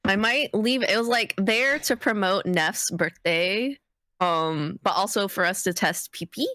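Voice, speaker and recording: A woman's voice: mid-range voice, measured pace, pushed and bright, dry recording. A woman chatting on a livestream with a congested, phlegmy voice, rambling and giggling as she talks. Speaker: player and streamer. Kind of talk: casual livestream chat.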